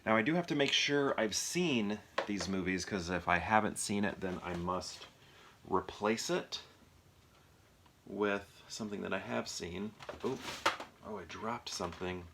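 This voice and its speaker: A man's voice: on the low side, measured pace, vocal fry, background noise. A man chatting casually and off the cuff to viewers as a movie reviewer, rambling and thinking aloud as he questions himself. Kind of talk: casual impromptu chat to viewers.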